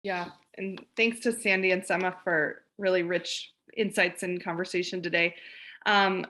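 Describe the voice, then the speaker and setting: A woman speaks in a mid-pitched, conversational voice on a video call. She shares her thoughts loosely and casually, with frequent fillers. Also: pushed and bright. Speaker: panelist. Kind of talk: casual webinar remarks.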